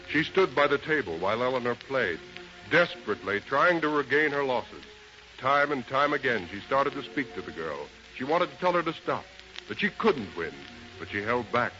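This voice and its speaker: A solemn storyteller from vintage radio melodrama. His forceful, medium-pitched voice is portentous and measured. Music plays along with the narration. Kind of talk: 1930s radio drama narration. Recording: dull 1930s radio recording.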